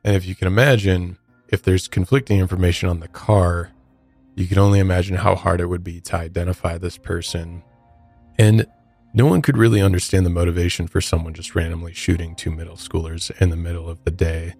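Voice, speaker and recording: A man's voice: deep voice, little pitch movement, a little fast, darkish tone, faint music behind. A man hosting a true crime podcast, explaining the case conversationally and addressing the listener directly. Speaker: podcast host.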